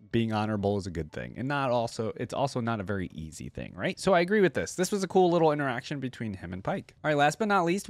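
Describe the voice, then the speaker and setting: A man's voice: medium pitch, dry recording. A man hosting a casual reaction video, chatty and enthusiastic with frequent appreciative interjections.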